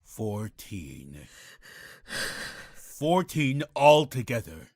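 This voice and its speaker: Guilt-ridden man, slow delivery, wildly swinging pitch, husky, pleading, video game drama. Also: sing-song, a little mellow, no room sound, heavily acted. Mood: ashamed. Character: guilt-ridden desperate man confessing, begging to die. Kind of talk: dramatic game cutscene acting.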